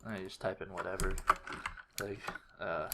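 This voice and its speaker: A man giving a casual screen-recorded walkthrough, thinking aloud in a low-key, halting mutter. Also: little pitch movement, creaky. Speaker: video creator. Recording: faint noise with keyboard clicks. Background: computer keyboard typing and mouse clicks.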